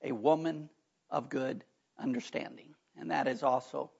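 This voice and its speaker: Hoarse, gravelly preacher man. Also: mid-range voice, fairly even intonation, moderate pace, a little mellow. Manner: folksy, chatty asides. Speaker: pastor. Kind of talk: sermon. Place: church sanctuary. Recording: dull lo-fi recording.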